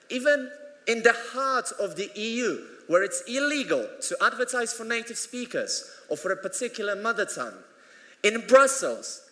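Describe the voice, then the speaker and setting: A man whose first language is Polish delivers a conference keynote in a high, bright voice. He is earnest and emphatic, with touches of dry humour. The sound carries a long echo from the hall. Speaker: conference plenary speaker. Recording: echoey hall.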